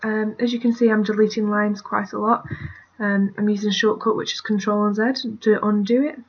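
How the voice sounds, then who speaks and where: A woman's voice: mid-range voice, flat delivery, brisk, dull audio, a little hiss or hum. A British woman giving a casual, rambling drawing tutorial while she works, often trailing off into mutters and whispers, recorded on a computer mic at her family home. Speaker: art tutorial creator.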